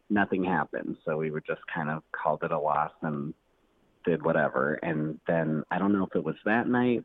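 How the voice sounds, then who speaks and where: A man's voice: low voice, no echo. A man calling in as a podcast guest over the phone, casually telling a personal story in an easygoing way. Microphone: phone call. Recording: tinny narrowband phone line.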